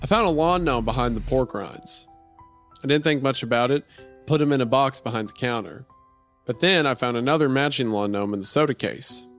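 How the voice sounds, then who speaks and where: A man reading as a deadpan gas station clerk, telling a strange story in the first person in a dry way. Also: mid-range voice, a little fast, natural voice. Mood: dry, uneasy. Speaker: podcast host reading a horror story aloud. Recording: music behind the voice.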